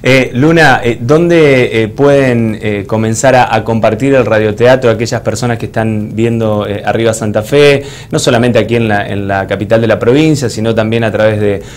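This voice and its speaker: A man's voice: fairly low pitch, fairly even intonation, normal speed, few pauses. A man in his thirties with an Argentine accent, interviewing a guest as a television presenter in a studio. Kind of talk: broadcast interviewing.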